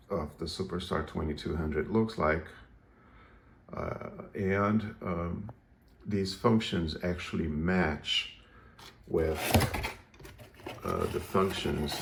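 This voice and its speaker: A man giving a casual, unscripted show-and-tell as a hobbyist, hesitant and halting as he thinks aloud. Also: very deep voice. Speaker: radio hobbyist presenting a project.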